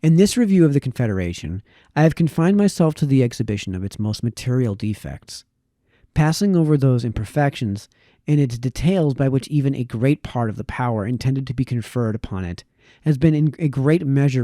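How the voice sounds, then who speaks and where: Male reader, mid-pitched and soft-edged, measured formal delivery of old prose, small stumbles, very dry close recording. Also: animated, no echo. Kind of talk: reading aloud a historical political text.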